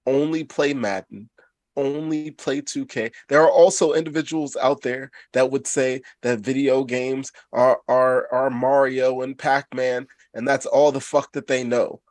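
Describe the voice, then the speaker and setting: A man with a mid-pitched voice in a very dry recording makes his case on a casual panel. He speaks emphatically and repeats words to stress his point. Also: flat delivery, measured pace, a little mellow, no echo. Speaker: podcast panelist. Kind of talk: casual opinionated discussion.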